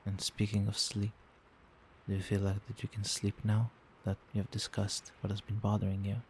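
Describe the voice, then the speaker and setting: A man playing a doting, gentle vampire boyfriend in a soft-spoken ASMR roleplay, tender, reassuring and coaxing. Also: on the low side, slight background noise, natural voice, poor audio. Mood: gentle concern. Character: doting, gentle male vampire boyfriend soothing partner to sleep.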